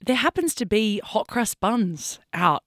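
A woman's voice: medium pitch, big pitch swings, measured pace, a bit bright, no room sound. A woman hosting a radio show from the studio, chatting in a friendly, encouraging way. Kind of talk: casual radio chat.

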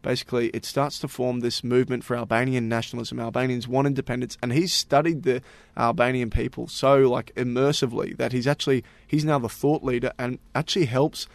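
Brief: male podcast co-host, mid-pitched, chatty and filler-heavy, dry close mic. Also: steady tone, fairly quick, vocal fry, few pauses, no room sound. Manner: chatty, lots of fillers like "you know" and "uh". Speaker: podcast co-host presenting stories. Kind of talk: casual group chat.

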